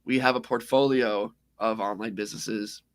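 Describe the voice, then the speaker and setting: Hoarse man chatting on a livestream. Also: on the low side, fairly even intonation, moderate pace. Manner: upbeat, rambling, jokey. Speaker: co-host. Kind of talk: casual livestream chat.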